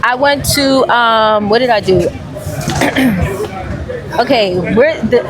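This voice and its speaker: A woman from Brooklyn, chatting casually and firing off quick teasing questions. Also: fairly high pitch. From Brooklyn, New York. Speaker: interviewee, fellow traveler. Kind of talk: casual chat. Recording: noisy street crowd behind.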